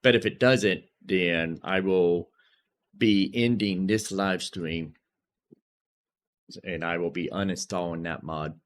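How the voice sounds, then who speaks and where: A man's voice: sits low, steady tone, slow, pauses often. A man live streaming a video game, commentating casually as he thinks aloud and repeats himself.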